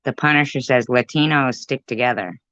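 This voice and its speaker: A woman's voice: very deep voice, fairly quick, a bit bright, no echo, highs cut off. A woman hosting commentary on clips, making wry, dismissive asides. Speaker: commentary host. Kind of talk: sarcastic commentary.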